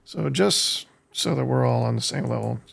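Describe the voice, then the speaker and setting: An American man streaming a game, giving casual live commentary in a halting way as he thinks aloud, with a gravelly, husky voice. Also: medium pitch, fairly bright tone. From United States. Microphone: streaming desk microphone.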